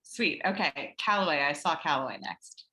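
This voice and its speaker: A woman moderating an online seminar, briskly calling on the next speakers. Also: sits low, expressive, bright, forceful tone. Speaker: session moderator. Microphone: video call.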